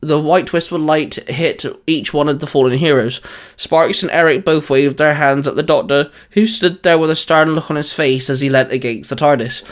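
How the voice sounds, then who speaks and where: Guy reading out a fanfic story, even measured delivery, a bit high voiced. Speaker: fan fiction narrator. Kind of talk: scripted story narration.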